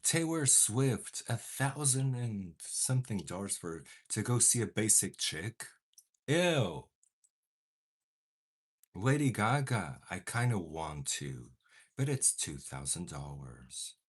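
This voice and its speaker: Slow-talking chatty man with a low voice. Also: very animated, breathy edge. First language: Portuguese. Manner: chatty and dramatic, mock outrage. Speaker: beauty vlogger. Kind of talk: casual chat while doing makeup.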